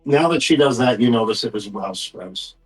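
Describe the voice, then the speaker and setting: A man narrating a tabletop game as game master, improvising in a conversational, halting way and speaking for characters in plain speech. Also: mid-range voice, expressive, faint music behind, poor audio.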